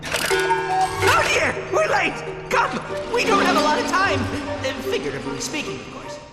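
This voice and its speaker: A jittery, apologetic young robot caretaker from a video game. The voice is high and leaps about excitedly, ringing in a big echoey hall under very loud music. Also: male voice, a little slow, heavily acted. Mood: flustered, eager. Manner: flustered, apologetic, stammering. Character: nervous, fussy young robot junior caretaker. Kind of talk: video game cutscene acting.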